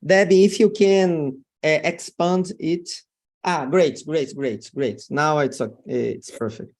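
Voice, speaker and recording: A man hosts an academic webinar in an informal way. His voice is a touch high and he takes his time, hesitating and repeating small words as he searches for the next phrase. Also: takes it slowly. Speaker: webinar host, professor. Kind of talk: informal spoken introduction.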